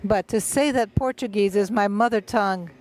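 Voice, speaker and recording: A live interpreter carries a festival panel discussion into English. The delivery comes in short, halting phrases broken by frequent filled pauses and self-corrections. The pace speeds up and slows down unevenly, and the voice sits at a middle pitch. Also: a little mellow, rough recording.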